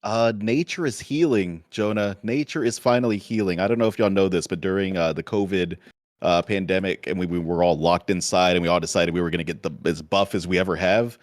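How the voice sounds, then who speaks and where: A man's voice: sits low, a little fast, no echo, studio quality. A man co-hosting a podcast, chatting in a jokey, upbeat way. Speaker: podcast co-host. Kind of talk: casual chat.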